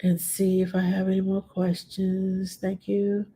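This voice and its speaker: A woman's voice: medium pitch, monotone, a little slow, mellow, husky. Stuffy-nosed chatty middle-aged woman. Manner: chatty, blunt and plain-spoken. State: stuffed-up nose. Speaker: nanny answering viewer questions. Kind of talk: casual live-stream Q&A.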